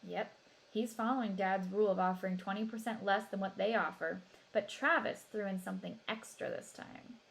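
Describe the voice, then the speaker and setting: A woman narrating a children's novel as an expressive read-aloud. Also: medium pitch, normal speed, vocal fry, poor audio.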